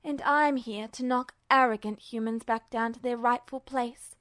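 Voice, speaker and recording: A woman voicing a cold, mocking godlike entity in an audio story, slow, drawling and condescending in a menacingly calm way. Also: a little high, no room sound, studio quality, lightly acted. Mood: scornful. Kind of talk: audio fiction reading.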